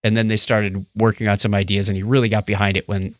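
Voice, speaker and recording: An American man co-hosting a podcast in casual, jokey chat with an animated delivery. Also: lowish voice, quick pace, no room sound, studio quality. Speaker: podcast co-host.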